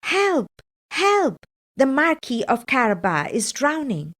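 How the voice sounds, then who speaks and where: Lady doing a clever talking cat from a fairytale, bold n persuasive, slow clear delivery. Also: high-pitched, big pitch swings, a bit bright, pitched above the performer's own voice, heavily acted. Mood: alarmed.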